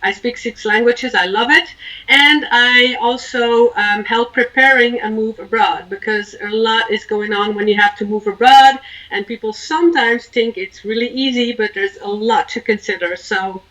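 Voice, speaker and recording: Bright high-voiced woman, distant mic. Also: moderate pace. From Belgium. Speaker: interview guest, expat coach. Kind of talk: informal interview talk giving advice.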